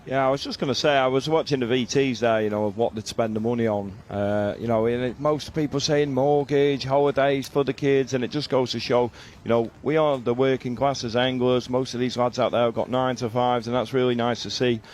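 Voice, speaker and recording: A man's voice: medium pitch, steady tone, brisk, hardly any pauses, a little mellow, slight background noise. Chatty male pundit. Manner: chatty, many fillers. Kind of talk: casual studio chat.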